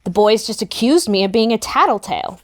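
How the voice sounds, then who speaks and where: A woman narrating a first-person story in an expressive, youthful storytelling manner. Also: a little high, fairly quick. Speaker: narrator in a book table read.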